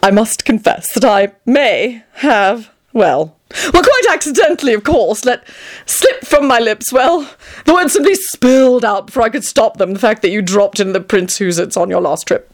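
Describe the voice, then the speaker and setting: A woman voicing a flamboyant, melodramatic man who gushes endearments and gossip in a shrill, theatrical storybook manner. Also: lively intonation, moderate pace, close to an everyday voice. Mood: wailing, flustered. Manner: effusive, melodramatic, swooning endearments.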